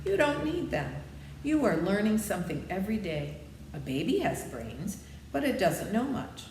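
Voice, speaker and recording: A woman's voice: mid-range voice, big pitch swings, darkish tone, voice pushed up. An old humbug wizard from a storybook, read by a woman, explains himself meekly and kindly. The echoey recording has faint noise behind it. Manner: meek, explanatory, measured.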